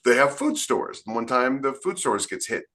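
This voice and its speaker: A man hosting a casual podcast, talking in animated, quick bursts and steering the conversation. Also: mid-range voice. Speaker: podcast host, author.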